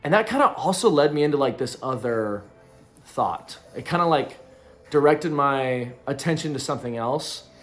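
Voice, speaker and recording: A man's voice: medium pitch, animated, moderate pace, fairly bright tone, faint music behind. A man of thirty talking loosely to camera in a casual reflective vlog, rambling with plenty of ums and likes. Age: 30s. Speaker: vlogger. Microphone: camera in front of him.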